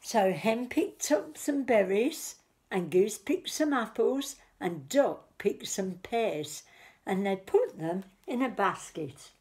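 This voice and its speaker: A woman's voice: medium pitch, animated, slow pace, darkish tone. A woman in her fifties telling a picture-book story as a nursery teacher, explaining simply to small children. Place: small living room, indoors.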